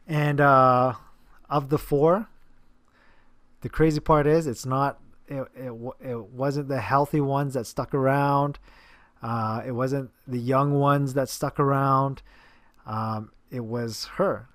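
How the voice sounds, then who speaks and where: A man's voice: mid-range voice, fairly even intonation, takes it slowly, pauses often, fairly bright tone, no echo. A man, a personal trainer, talking casually to camera about a client, rambling with many fillers and restarts. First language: English.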